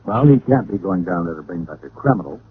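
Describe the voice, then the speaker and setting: A man's voice: low-pitched, animated, fast talker. An American actor playing a witty, sardonic private detective who narrates his case in old-time radio style. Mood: teasing. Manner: wry, sardonic. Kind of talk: 1940s-50s radio detective acting and narration. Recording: muffled, hissy vintage radio recording.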